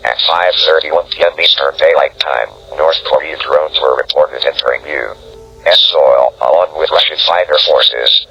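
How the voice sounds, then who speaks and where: A man with an extremely low, creaky voice reads a formal emergency address. The sound is sharp and bright but husky, and his delivery is solemn and scripted. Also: poor audio. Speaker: speaker posing as government representative.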